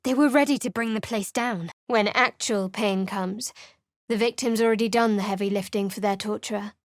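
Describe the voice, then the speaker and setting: A woman's voice: mid-range voice, steady tone, normal speed, no echo, natural voice. An actress playing a guarded, sardonic young woman cleric in a video game, speaking dryly and wryly. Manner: dry, wry and guarded. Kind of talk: video game character voice lines. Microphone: close studio mic.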